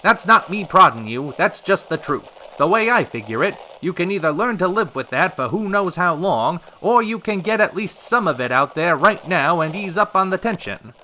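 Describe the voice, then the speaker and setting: An actor playing a showy radio host of a retro variety hour, with polished, theatrical announcer patter in an old-time radio style. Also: male voice, sits high, lively intonation, moderate pace, hardly any pauses, sharp tone, slight background noise, poor audio. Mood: persuasive. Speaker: radio show host and interviewer.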